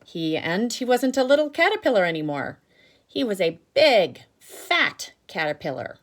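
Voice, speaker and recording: A woman teacher reading aloud to children, with expressive emphasis and instructive explanatory asides. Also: medium pitch, normal speed. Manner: instructive, checks in with listeners.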